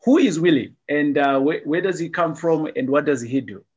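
A man's voice: fairly high pitch, normal speed, a little mellow, husky, muffled recording. Upbeat middle-aged man hosting a video call. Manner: upbeat, repeats phrases like "awesome stuff". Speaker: host. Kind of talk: casual video-call interview.

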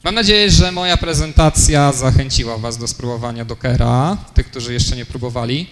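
A man's voice: medium pitch, brisk. Young man presenting in an echoey hall. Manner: fillers and self-corrections, explanatory. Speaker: conference speaker. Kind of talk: technical conference talk. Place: conference room, lecture hall. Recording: long hall reverb, faint noise.

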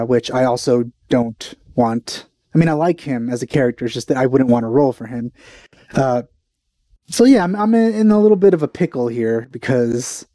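A man's voice: medium pitch, dark tone, no echo, clean studio sound. A young man giving casual reaction commentary as a video host, hesitant and meandering, into a headset mic.

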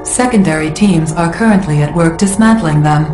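A woman performs a female battlefield AI in a video game, measured and impersonal. Her voice is lowish and echoing, under loud music. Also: natural voice. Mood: calm, precise. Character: calm, precise female military computer AI. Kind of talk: formal status report in game cutscene.

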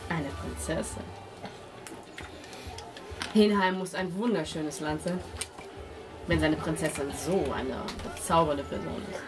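A woman voicing a courtly, flirtatious gentleman adventurer with a low, slightly smoky voice, in a tabletop game. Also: unhurried, long pauses. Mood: courtly flattery. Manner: courtly, flattering, seductive. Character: smooth, flirtatious tall gentleman adventurer wooing a princess. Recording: music playing behind the voice.

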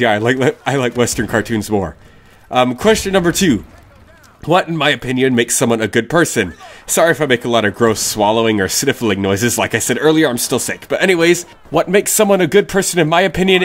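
A man's voice: medium pitch, a little hiss or hum. A young man with a stuffy, sick voice hosting a casual Q&A, rambling and correcting himself as he answers viewer questions. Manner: rambling, self-correcting, blunt opinions. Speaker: YouTube channel host.

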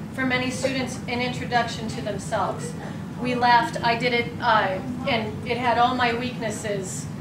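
A woman with a Midwestern American accent giving a conference talk and answering questions in a conversational, thoughtful way. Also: on the high side. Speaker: conference presenter. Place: conference room. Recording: roomy, distant mic, loud background noise.

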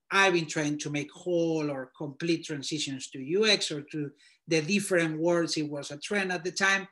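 A man's voice: a little high, fairly bright tone. A man in his thirties from Spain with a Spanish accent, a native Spanish speaker talking casually on a video call from a small room at home, loose and full of fillers as he thinks aloud. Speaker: guest portfolio reviewer. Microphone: laptop webcam video call.

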